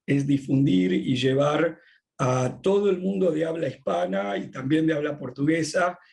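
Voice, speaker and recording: A middle-aged Argentine man, a rabbi speaking as a podcast guest, who talks in a measured way with frequent drawn-out "eh" pauses. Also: on the high side, vocal fry, a little mellow. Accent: Argentine Spanish. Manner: measured, many drawn-out "eh" fillers. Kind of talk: thoughtful conversational interview.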